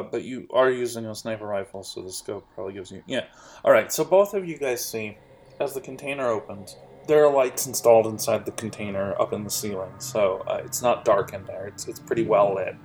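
A man narrating a tabletop role-playing game as game master, halting with fillers and restarts. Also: fairly low pitch, darkish tone.

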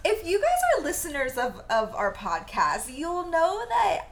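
A young woman whose first language is Vietnamese, co-hosting a podcast and telling a story in a giggly, animated way. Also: sits high, a little slow. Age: young adult. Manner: giggly, animated, laughs often. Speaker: podcast co-host. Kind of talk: casual storytelling chat.

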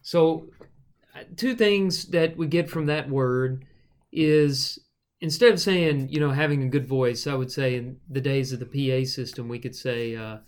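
A man with a thin, reedy voice, co-hosting a podcast and explaining Bible passages in a thoughtful, unhurried way, with frequent fillers. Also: mid-range voice, smooth. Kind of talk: casual teaching conversation.

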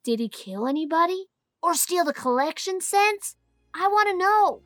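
Woman doing an eager, high little boy. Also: expressive, moderate pace, fairly bright tone, no room sound, studio quality. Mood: earnest. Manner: eager, questioning, pressing. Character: curious chatty little boy, earnest. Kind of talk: audiobook character voice.

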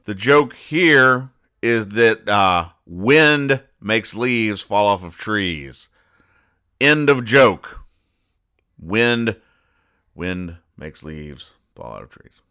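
Male podcast host, casual monologue, bright forceful tone on the lower side, dry sarcastic deadpan. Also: clear, resonant tone. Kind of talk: casual comic-strip recap monologue.

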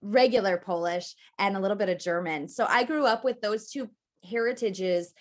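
Woman from Wisconsin, interview guest, chatty and animated, mid-pitched. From northern Wisconsin, USA. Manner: chatty and animated, quick asides. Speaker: guest, copper cookware maker. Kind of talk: casual interview chat.

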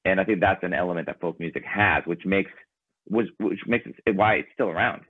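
A very low-voiced man speaks in a relaxed, rambling way, with plenty of fillers. His first language is Ukrainian. The sound is thin and phone-like, close and dry. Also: a little fast, husky, no echo. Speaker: band member guest. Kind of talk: casual interview conversation. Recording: tinny phone-like line.

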